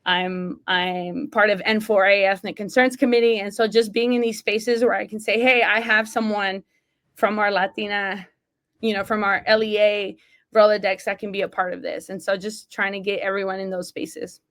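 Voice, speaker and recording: A woman's voice: mid-range voice, flat delivery, moderate pace, fairly bright tone. A woman from Mexico speaks as a podcast guest, earnest and emphatic. She talks in a mid-range voice, with a loose conversational flow full of "like" and "right?". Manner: single mom. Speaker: associate athletic director, guest. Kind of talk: casual interview talk.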